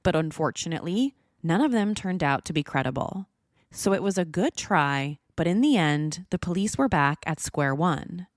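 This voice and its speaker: A woman's voice: fairly low pitch, expressive, moderate pace, dry recording, studio quality. A woman hosting a podcast, reading scripted true-crime narration. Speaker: podcast host.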